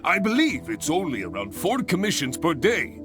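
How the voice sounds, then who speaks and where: An actor playing a theatrical, enthusiastic adventurers guild master in a video game, with a grandiose and effusive delivery. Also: male voice, fairly high pitch, wildly swooping pitch, moderate pace, music in the background. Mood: dismayed, coaxing. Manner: grandiose, effusive, pleading persuasively. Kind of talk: video game character acting.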